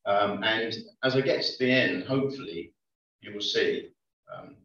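A man preaching a conversational sermon in a church, often pausing with ums. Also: lowish voice, fairly bright tone. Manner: conversational, hesitant with many ums. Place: church hall. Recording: echoey lo-fi church recording from far off.

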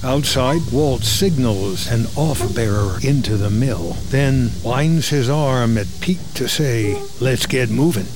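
A man narrates a dramatic screenplay in short, terse present-tense phrases. His mid-pitched voice sits over clear background music. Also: takes it slowly.

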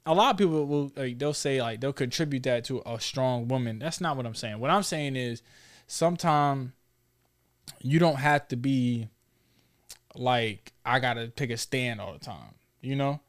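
A man's voice: medium pitch, moderate pace. A man in his thirties hosting a casual conversation, talking in an informal, slangy, probing way. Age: 30s. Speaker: talk show host.

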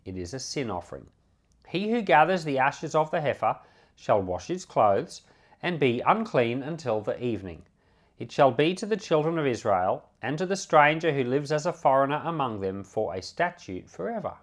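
Man with lively, expressive scripture-teaching delivery. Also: medium pitch, normal speed. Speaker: pastor reading and explaining scripture. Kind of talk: Bible reading with devotional commentary.

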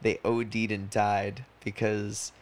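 A man's voice: lowish voice, level tone, takes it slowly. A man in his twenties chatting casually as a podcast co-host, rambling and slangy, swearing freely. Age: 20s. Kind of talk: casual chat.

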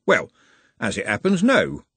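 A man's voice: medium pitch, wildly swooping pitch, measured pace, a bit bright, breathy edge, dry recording, full character voice. A man voicing a big, touchy, suspicious guard in a storybook, blunt and colloquial. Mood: wary, baffled.

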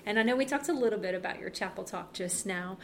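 A woman's voice: mid-range voice, fast, a little hiss or hum. A woman interviewing a guest in a friendly, encouraging way. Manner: encouraging, affirming listener. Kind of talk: casual interview conversation.

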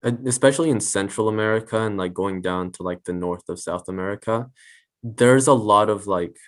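A man's voice: fairly low pitch, brisk. A teenage boy, a student on a panel, speaking casually with hesitant stutters and restarts. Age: teens. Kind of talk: casual discussion.

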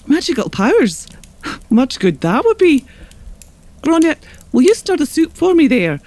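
An actress with an Irish accent playing a shrewd, hospitable northern wise woman in a children's audio drama, speaking with deliberate gravity. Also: sits high, sing-song, a little mellow, no room sound. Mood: grave, frank.

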